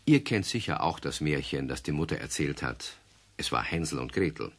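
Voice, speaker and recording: A man narrating a children's radio play in a measured storytelling way. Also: rumbling low, animated, a bit bright. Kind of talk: scripted narration.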